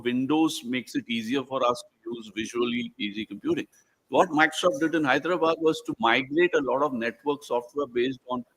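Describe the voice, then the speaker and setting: Male moderator, Indian accent, mid-pitched voice. Conversational and digressive, halting delivery for a live book panel. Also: breathy edge, low-quality recording. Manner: digressive, halting, fond of lists. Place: live event before a small audience.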